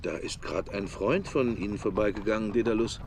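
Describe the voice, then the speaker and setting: A man's voice: on the low side, brisk, noisy background, natural voice. An actor playing a pensive middle-aged man who muses wryly in clipped fragments in a radio drama. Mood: matter-of-fact. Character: Mister Bloom, pensive middle-aged man, wry inner musings. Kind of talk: radio drama interior monologue.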